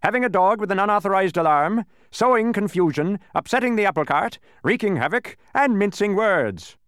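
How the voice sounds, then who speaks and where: A man voicing a tiny, stout, fierce storybook policeman, officious, blustering and quick to accuse. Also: high-pitched, animated, fairly bright tone, smooth, no room sound, clean studio sound, pitched above the performer's own voice. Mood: stern, officious.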